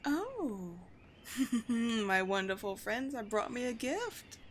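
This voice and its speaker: A woman's voice: on the high side, big pitch swings, a little hiss or hum. Woman acting as a haughty vampire queen. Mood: amused disdain. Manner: imperious, theatrical, deliberate phrasing. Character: haughty, commanding female vampire queen, disdainful of humans. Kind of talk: roleplay audio drama.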